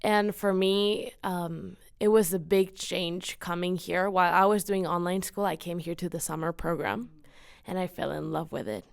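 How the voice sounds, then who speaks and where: A woman's voice: fairly low pitch, moderate pace, vocal fry, smooth, no echo, clean studio sound. A woman in her late teens from Mexico, giving enthusiastic, earnest, casual answers as an interview guest. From Cancun, Mexico. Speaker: podcast guest, student singer-songwriter.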